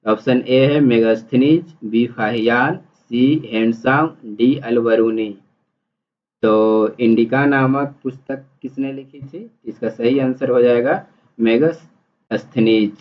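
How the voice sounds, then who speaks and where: A man's voice: medium pitch, slow. A man teaching exam prep, reading multiple-choice questions and explaining the answers in an instructional, repetitive way. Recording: dull, muffled lo-fi audio.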